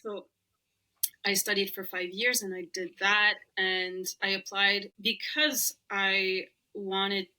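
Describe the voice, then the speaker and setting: A young woman with a bright, clear voice. Her is Arabic, but she talks easily in English. She is chatty and laughs often as a podcast guest. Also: medium pitch, flat delivery, takes it slowly, poor audio. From Lebanon. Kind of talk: casual interview chat.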